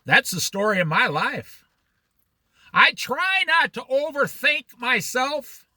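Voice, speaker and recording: A man's voice: very high voice, very animated, slow, sharp tone, no echo, studio quality. A man leading an informal Bible study, throwing out challenging rhetorical questions and jokey asides.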